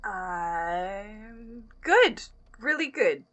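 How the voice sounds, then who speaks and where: A woman's voice: medium pitch, lively intonation, extremely slow, a bit bright. A woman playing a breezy but uneasy young woman in an audio drama, casual and hesitant. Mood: awkward, breezy. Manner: hesitant, casual, filler-laden. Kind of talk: naturalistic audio drama acting.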